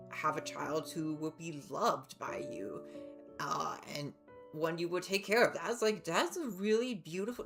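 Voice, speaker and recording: A woman's voice: lowish voice, fairly bright tone. A woman livestreaming a game with casual, chatty, rambling commentary and reactions. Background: game music.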